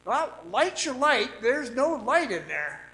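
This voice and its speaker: An actor playing a cocky young mine guide in a radio drama, folksy and coaxing, moving between narration and dialogue. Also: male voice, very high-pitched, very animated, takes it slowly, bright, forceful tone, heavily acted, rough recording. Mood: eager, insistent. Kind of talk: old-time radio drama acting, part narration, part dialogue.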